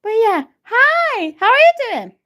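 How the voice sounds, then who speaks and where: Very high-pitched excitable Turkish woman. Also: a little slow. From Turkey. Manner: excitable, greets chat viewers by name. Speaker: live streamer. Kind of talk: casual live-stream chat.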